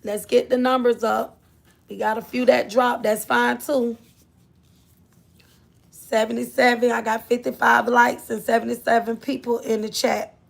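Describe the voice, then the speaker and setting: Gravelly high-voiced Southern woman streamer. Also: level tone, a little slow. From Florida. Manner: chatty, drawling, scolds her kids off-mic. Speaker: livestream host. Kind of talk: casual live chat.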